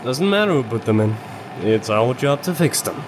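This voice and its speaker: A man acting a firm, by-the-book repairman in an audio drama. The voice sits at medium pitch with lively swings, and machinery noise hums clearly behind it. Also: normal speed, lightly acted. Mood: brisk. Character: by-the-book, instructing pipe repair worker.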